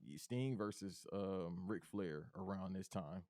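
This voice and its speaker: A man with a deep bass voice talks in a loose, slangy, laid-back way. His pitch sits low and the sound is dry and close, with no room around it. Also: measured pace, darkish tone, dry recording. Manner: laid-back, slangy, says bro a lot. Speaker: podcast co-host. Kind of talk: casual chat.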